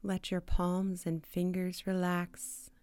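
A woman leading a guided meditation in a soothing manner, with short phrases, long pauses and soft, breathy endings. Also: fairly low pitch, completely flat delivery, dry recording.

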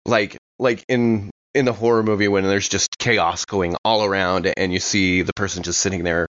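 A man's voice: low-pitched, fairly even intonation, normal speed, fairly bright tone, no room sound, highs cut off. A man playing a tabletop game, casually roleplaying and describing his character's actions.

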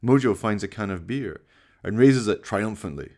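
A man's voice: on the low side, animated, normal speed, darkish tone. A man narrating a radio play from a script, describing the action in the present tense.

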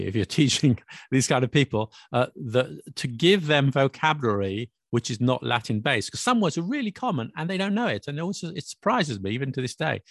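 A man's voice: mid-range voice, vocal fry, no echo, clean studio sound. A man hosting a casual podcast, chatty and explanatory, laughing often as he teaches. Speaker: podcast host and English teacher. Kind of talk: casual chat. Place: Paris.

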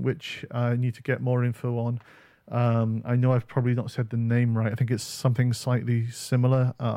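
A man's voice: mid-range voice, a little mellow, no room sound. Rambling man, dry close sound. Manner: rambling, lots of ums and uhs. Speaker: podcast co-host. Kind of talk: casual gaming chat. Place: home setup on camera.

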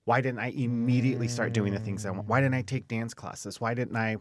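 A man's voice: mid-range voice, steady tone, fairly quick. A man in his late thirties talking candidly on a podcast, speaking emphatically, with repetition and casual swearing. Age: late 30s. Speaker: podcast host. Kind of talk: candid personal conversation.

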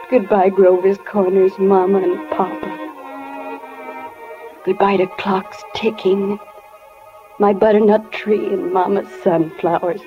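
An actress playing an anguished young wife in a 1940s radio drama, with a breathy, impassioned, tremulous delivery. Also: female voice, mid-range voice, darkish tone, natural voice, low-quality recording. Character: young wife in anguish, revisiting her childhood after death. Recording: old 1940 radio broadcast, faint music behind.

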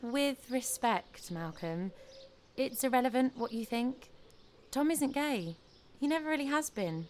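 A woman's voice: sits high, animated, a little slow, slight background noise. A woman acting a frank, self-assured young British girlfriend in an audio play, patient, firm and matter-of-fact.

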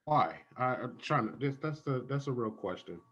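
Guy doing a confused school admin, husky voice, halting and understated, improv comedy. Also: medium pitch, animated, a bit bright, dull audio. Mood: bewildered, uneasy. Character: bewildered, hesitant school administrator questioning a teacher.

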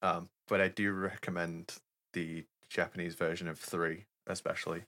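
Very deep, bright-toned man. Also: flat delivery, normal speed. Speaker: podcast co-host. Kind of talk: casual chat.

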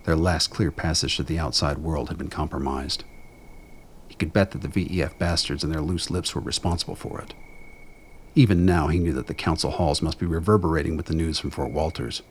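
A man with a gravelly voice reading scripted dramatic narration in a measured, brooding storytelling manner. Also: very deep voice, fairly even intonation, a little hiss or hum.

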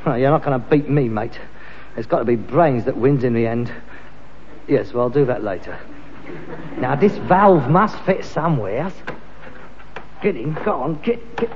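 An actor playing a pretentious, defensive grown son in a vintage British sitcom, with a London accent and a blustering delivery. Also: male voice, mid-range voice, animated, normal speed, pauses often, long reverb tail, highs cut off, a little hiss or hum, close to an everyday voice. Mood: exasperated, muttering.

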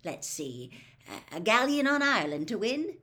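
A woman reads as a middle-aged father in a storybook. He is mild and cautious, with a polite, gently hesitant manner. His pitch sits in a middle female range and moves in lively turns. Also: moderate pace. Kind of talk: audiobook character voice.

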